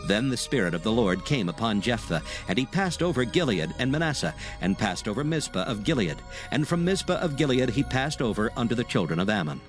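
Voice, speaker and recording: A man narrating dramatized scripture in a solemn, formal, measured storytelling manner. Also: medium pitch, very animated, over music.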